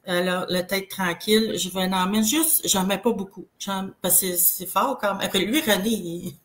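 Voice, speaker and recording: A woman's voice: medium pitch, steady tone, a bit bright. Congested Québécois woman. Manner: rambling, halting, full of restarts. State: has a cold, congested. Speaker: guest sharing product testimonial. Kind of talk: casual live chat.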